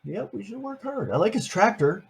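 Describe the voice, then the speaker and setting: Older man, grandfather type, husky and lively, reading a picture book to the grandkids, playful asides. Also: high-pitched, normal speed. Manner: playful, chatty, asks the listeners questions.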